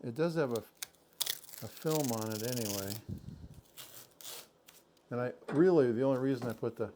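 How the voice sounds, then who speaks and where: A man talking through a do-it-yourself boat project as he works, thinking aloud in halting, self-correcting bits. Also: medium pitch, mellow, slight background noise. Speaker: boat owner doing a DIY project. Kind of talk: casual DIY narration while working.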